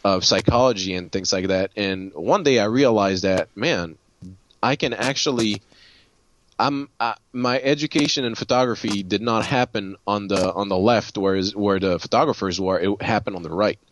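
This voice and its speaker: A man from Haiti telling a personal story casually, in a halting way with repeated words. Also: on the low side, highs cut off, slight background noise. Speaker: guest photographer and designer.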